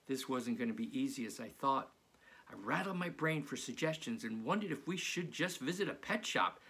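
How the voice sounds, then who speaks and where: Male author-narrator for children's books: mid-pitched, very expressive, slightly rough voice. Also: moderate pace. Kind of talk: animated storybook reading aloud.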